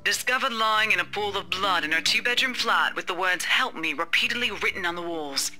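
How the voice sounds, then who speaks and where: A formal local news anchor played by a woman delivers grim reports in a measured way. The voice is very bright and sharp, with faint music underneath. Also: mid-range voice. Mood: grave, formal. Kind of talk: news bulletin in a visual novel reading.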